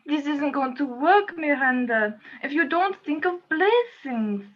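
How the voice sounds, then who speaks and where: A woman's voice: high-pitched, animated, a little slow. A woman playing an earnest, insistent exorcist who coaxes a stubborn spirit in an amateur radio play. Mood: coaxing, exasperated. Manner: insistent, coaxing, theatrical. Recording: slightly dull, lo-fi audio.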